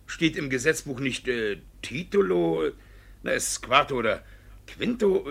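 Sly, gravelly, blustering village judge. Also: male voice, mid-range voice, expressive, measured pace, fairly bright tone, heavily acted. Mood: flustered, evasive. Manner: blustering, evasive, hesitant stammering. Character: sly, flustered village judge hiding his guilt. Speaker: actor in a radio play. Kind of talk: theatrical classic radio play acting.

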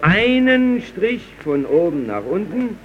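An actor playing a polite but exasperated neighbour who instructs emphatically in a 1930s German radio comedy. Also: male voice, high voice, sing-song, slow pace, heavily acted. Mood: polite, instructive. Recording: dull, noisy 1930s radio recording.

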